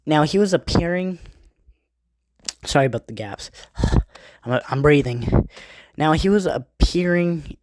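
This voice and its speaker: A man's voice: on the high side, expressive, darkish tone, no echo, studio quality. Stuffy-nosed man rambling on a podcast. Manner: rambling, halting, with many asides and self-corrections. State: stuffy nose from a cold. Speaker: podcast host. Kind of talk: casual podcast monologue reading album facts.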